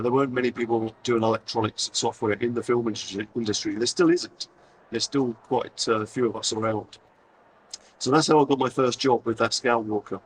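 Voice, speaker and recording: A middle-aged man whose voice is going hoarse speaks to a convention audience in a loose, self-deprecating way, full of fillers and restarts. He sits a little back from the mic, with a faint hum of the hall around him. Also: medium pitch, far from the mic. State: hoarse, losing his voice. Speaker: conference speaker. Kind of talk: live talk to an audience.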